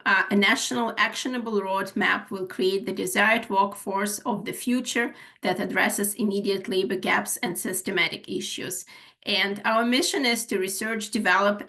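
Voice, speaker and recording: A woman's voice: medium pitch, sharp tone. A woman with a Ukrainian accent presenting a webinar as an HR manager, with many filler sounds as she goes through her slides. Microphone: video call.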